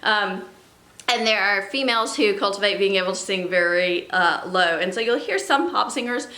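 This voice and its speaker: A woman's voice: medium pitch, normal speed, sharp tone, reverberant. A woman who sings soprano, teaching a music lesson to students at home and explaining patiently, with fillers and little laughs. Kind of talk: remote classroom lesson.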